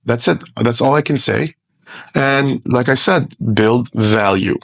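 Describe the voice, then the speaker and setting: A man's voice: medium pitch, slow pace, gravelly. A man in his forties from Lebanon, a guest investor giving blunt, profane, emphatic advice in a casual podcast interview. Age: 40s.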